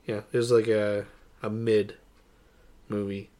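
A man's voice: on the low side, level tone, measured pace, mellow. A man co-hosting a podcast, chatting casually and informally about movies. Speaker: podcast co-host. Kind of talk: casual movie review chat.

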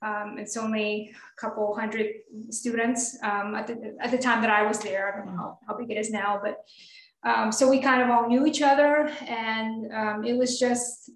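A higher-pitched woman talks with eager, high energy as a guest on a radio arts program. Her speech runs quick and passionate, with frequent ums. The voice sits in a roomy, echoing space. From Russia. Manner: energetic, enthusiastic, many fillers. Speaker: guest pianist. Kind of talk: radio interview.

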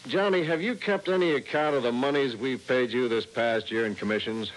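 An actor playing a friendly, persuasive insurance manager in a mid-century radio drama. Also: male voice, medium pitch, fairly quick, close to an everyday voice. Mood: businesslike. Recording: slightly noisy old radio recording.

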